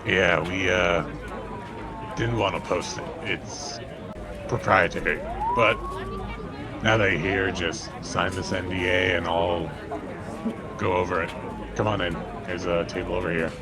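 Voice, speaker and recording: An actor plays a weary man minding a secret device in an audio drama. His voice is low and his delivery casual and dismissive, as if nothing matters much. Clear noise runs behind him. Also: fairly even intonation, a little slow, pauses often. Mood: brisk, offhand. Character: weary, offhand male caretaker of a secret device.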